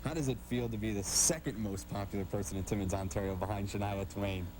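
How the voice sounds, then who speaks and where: Male sports show host, quick and slightly low, polished broadcast delivery, clearly noisy background. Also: steady tone. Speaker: TV show host and hockey play-by-play announcer. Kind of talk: sports interview hosting.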